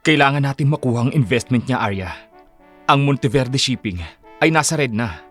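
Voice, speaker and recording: A man's voice: mid-range voice, lively intonation, fairly quick, fairly bright tone, soft background music. A man playing a desperate, guilty, scheming husband in an audiobook drama, speaking tensely and defensively. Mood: anxious.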